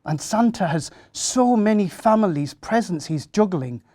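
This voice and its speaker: A man reading a rhyming children's story aloud in an expressive storytelling style. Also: sits high, a little slow, dry recording, studio quality.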